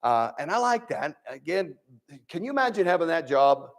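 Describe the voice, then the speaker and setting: An older male pastor from the Midwest preaches in a church with a nasal, fairly high voice. His delivery is folksy and animated, and he restarts phrases often as he works through the scripture. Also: normal speed. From American Midwest. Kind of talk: sermon.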